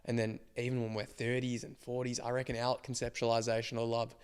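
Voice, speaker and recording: A man in his twenties co-hosting a podcast with a slightly croaky voice, reflecting at length in casual chat. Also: medium pitch, completely flat delivery, brisk. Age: 20s. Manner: reflective, explains ideas at length. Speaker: podcast co-host.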